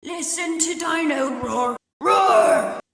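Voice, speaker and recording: A woman voicing a boastful singing toy dinosaur from a children's storybook, with drawn-out chanting and roaring. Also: high-pitched, fairly bright tone, echoey room, long reverb tail, pitched above the performer's own voice, exaggerated voice. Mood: loud, boastful.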